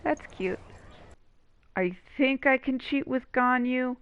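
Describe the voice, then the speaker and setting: Woman gamer, fairly high voice, casual, wry, slightly dull mic, faint game music. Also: takes it slowly, smooth. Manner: playful, wry asides. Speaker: gaming video host. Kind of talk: casual let's-play commentary.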